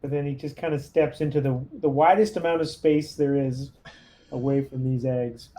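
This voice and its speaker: A man's voice: medium pitch, steady tone, normal speed, warm tone. A man playing a tabletop roleplaying game, casually narrating his character's moves and chatting at the table.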